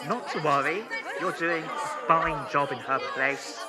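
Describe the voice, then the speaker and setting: Man as a sardonic onlooker amid loud crowd. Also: on the high side, unhurried, natural voice. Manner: sarcastic. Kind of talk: audio drama acting.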